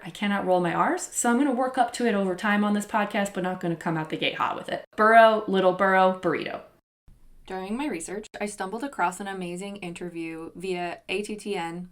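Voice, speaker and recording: Woman, young adult, solo podcast host, chatty, self-deprecating. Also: mid-range voice. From United States.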